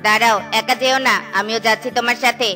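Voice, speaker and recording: A woman's voice: on the high side, flat delivery, fast, sharp tone, faint music behind. A woman voicing an earnest, cautious cloud who is easily offended in a children's cartoon. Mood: worried, pleading.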